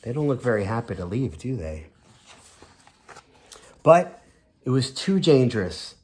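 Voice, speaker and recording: Animated man reading to young kids. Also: medium pitch, measured pace, slightly rough. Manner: expressive, child-directed, asks the listener simple questions. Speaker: teacher reading a picture book to children. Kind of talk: storytime read-aloud with questions to young children.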